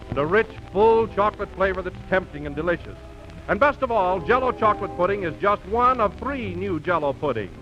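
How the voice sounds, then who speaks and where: Male American announcer, high voice, polished 1930s sales read, muffled vintage radio sound with music.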